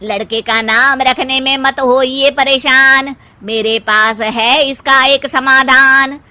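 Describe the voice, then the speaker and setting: Greedy cartoon priest, played by a woman, high bright voice, unhurried, placating and pompous. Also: steady tone, exaggerated voice. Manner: placating, rhyming pronouncements. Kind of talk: comic cartoon dialogue.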